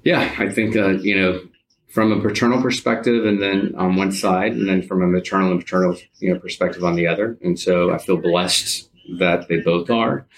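A man in his fifties answering as an interview guest in a conversational, halting and reflective way. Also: sits low, steady tone, slight background noise, low-quality recording. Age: 50s.

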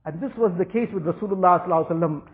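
A man's voice: high voice, racing. Man, first language Urdu, religious teacher, earnest, repeats for emphasis, tinny phone-like audio. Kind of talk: Islamic talk.

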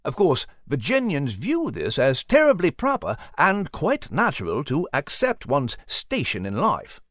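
Guy doing a posh professor mouse for a kids audiobook, super polite, really animated, dry sound. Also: a little high, measured pace, dry recording, exaggerated voice. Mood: lecturing. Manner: formal, professorial, courteous. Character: refined, bespectacled British gentleman mouse, scholarly and polite.

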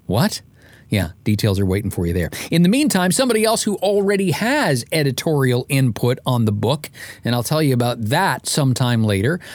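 A man with a polished, measured delivery, presenting as a podcast host and narrator. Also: medium pitch, darkish tone, no room sound. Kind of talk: audiobook-style narration and podcast hosting.

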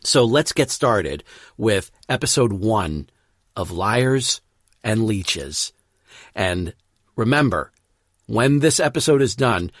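A man's voice: fairly low pitch, no room sound, clean studio sound. A man hosting a podcast, giving a casual introduction. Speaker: podcast host and producer.

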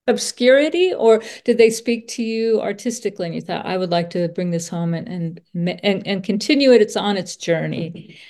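A woman with a medium-pitched voice interviews a guest for a podcast. She talks conversationally and feels her way thoughtfully through her questions. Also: animated, moderate pace, darkish tone. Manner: thoughtful, meandering questions with frequent acknowledgments.

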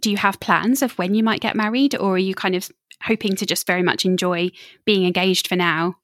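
A woman interviewing a guest in a relaxed conversation, affirming and encouraging as she listens. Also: mid-range voice, a little fast, dry recording, clean studio sound.

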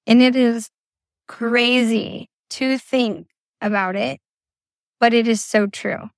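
A young woman with a hoarse, raspy voice left over from a cold speaks slowly and earnestly, coming back to the same encouragement again and again. Her voice sits a little high, and the recording is dry and close with no room sound. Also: steady tone, no room sound. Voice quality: raspy, scratchy. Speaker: podcast host. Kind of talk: faith-based motivational podcast talk. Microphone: informal recording setup.

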